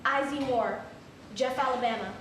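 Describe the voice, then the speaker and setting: A woman's voice: high-pitched, moderate pace, lightly acted. A woman giving a dramatic reading as an earnest seeker placing a missing-person newspaper notice. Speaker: stage reader. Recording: very echoey hall, distant, faint noise.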